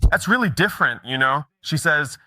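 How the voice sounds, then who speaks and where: A man's voice: on the high side, lively intonation, moderate pace, sharp tone, no echo. A middle-aged man telling stories in a conversational conference talk, often checking in with the audience. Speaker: mortgage broker giving a conference talk.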